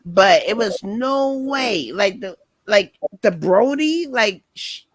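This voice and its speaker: A woman's voice: mid-range voice, animated, slow, no echo, poor audio. A woman on a casual chat panel, talking in slangy, dramatic exclamations. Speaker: panel guest.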